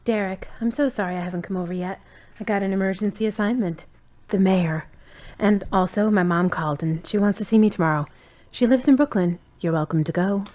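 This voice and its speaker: A woman's voice: medium pitch, a little fast, a little mellow, natural voice. A woman acting a busy, apologetic and eager lady friend in an audio drama. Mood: apologetic, hurried.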